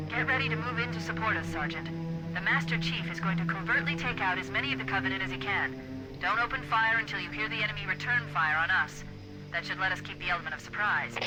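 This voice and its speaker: A woman playing a confident, brisk military artificial intelligence in a game, giving precise tactical briefings with crisp authority. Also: medium pitch, natural voice, low-quality recording. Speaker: tactical guide. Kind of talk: scripted game voice acting.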